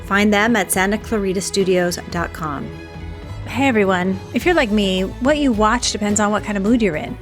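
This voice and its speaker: Female podcast host, mid-pitched, conversational presenting, some music underneath. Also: normal speed. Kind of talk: host narration, ad reads and conversational interviewing.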